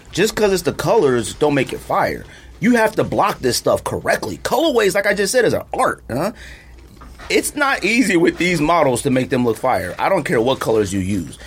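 A man's voice: fairly high pitch, breathy edge. A man co-hosting a podcast in casual, slangy, argumentative chat, often repeating himself for emphasis. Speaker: podcast co-host. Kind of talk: casual opinionated chat about sneakers.

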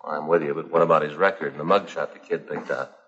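An actor playing a weary but dogged police detective partner in a 1950s crime drama, clipped and insistent. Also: male voice, very deep voice, fairly even intonation, quick pace, fairly bright tone, slight background noise. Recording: dull 1950s TV soundtrack, faint music.

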